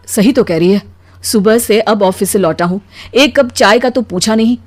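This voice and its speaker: A woman voicing a weary, put-upon, soft-hearted husband in an animated story, with a plaintive manner. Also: medium pitch, normal speed, no echo, close to an everyday voice.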